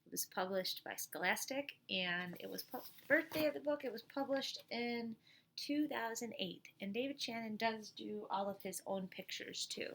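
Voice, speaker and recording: A middle-aged woman reading a picture book aloud like a teacher at storytime, expressive, with chatty asides and questions to young listeners. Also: fairly high pitch, normal speed, creaky, slight background noise.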